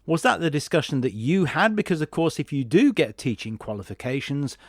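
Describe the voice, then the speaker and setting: A man's voice: on the high side, sing-song, brisk, no echo, clean studio sound. A man hosting a podcast and interviewing in a conversational way.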